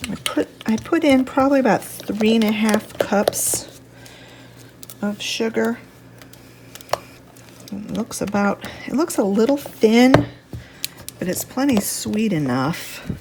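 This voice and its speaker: A woman with a slightly stuffy, congested voice, giving a casual, chatty home cooking demonstration at an unhurried, thinking-aloud gait. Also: on the high side, expressive, background noise. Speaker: home cook, video host. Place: home kitchen.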